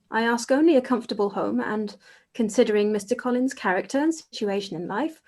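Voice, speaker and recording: A woman playing a practical, unromantic young gentlewoman in a period radio play, speaking in a measured, formal way. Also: on the high side, close to an everyday voice.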